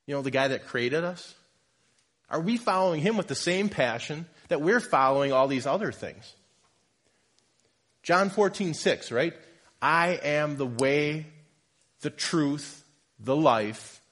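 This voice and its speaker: Male lay preacher, husky and a bit sniffly from a cold, chatty and self-deprecating in the pulpit. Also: a little high, animated, unhurried, long pauses, fairly bright tone. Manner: conversational, jokes with congregation, self-deprecating. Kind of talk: informal sermon. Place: church.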